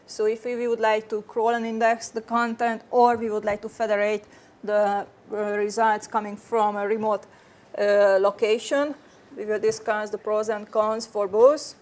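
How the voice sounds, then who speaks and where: A woman from Hungary with a Hungarian accent, presenting a technical talk at a conference in an earnest way, often restarting her phrases. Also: on the high side. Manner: earnest, frequent restarts and fillers. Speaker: conference speaker.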